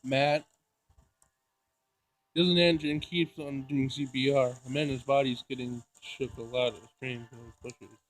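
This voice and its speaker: A man playing through a visual novel, reading it aloud in an emotional, halting way with dramatic outbursts. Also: mid-range voice, level tone, rough recording. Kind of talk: let's play narration with loud reactions.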